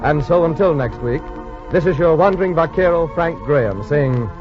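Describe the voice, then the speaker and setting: A male radio narrator speaks with theatrical forties showmanship and swooping intonation. The old broadcast is muffled, with clear music behind his voice. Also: a little high, lively intonation, normal speed. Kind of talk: 1940s scripted radio narration.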